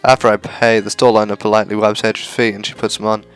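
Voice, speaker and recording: A man's voice: fairly low pitch, level tone, fast talker. A man reading a visual novel aloud as narration for a gaming video. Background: faint music.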